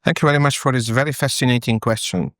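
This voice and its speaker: A man from Hungary with a Hungarian accent, a professor giving formal, somewhat halting scholarly explanations as a guest. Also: medium pitch, fairly bright tone, no room sound, studio quality. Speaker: history professor, interview guest.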